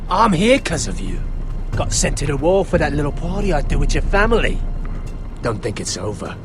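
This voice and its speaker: A man's voice: on the high side, very animated, normal speed, noisy background. A man playing a crude, vengeful soldier bully in a video game drama, sneering and threatening. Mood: menacing, taunting. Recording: music behind the voice.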